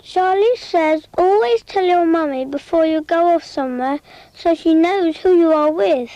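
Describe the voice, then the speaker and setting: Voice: extremely high pitch, moderate pace, dark tone, no echo, highs cut off. An actor voicing a small child who narrates a cautionary cartoon in a simple, childlike storytelling way. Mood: earnest.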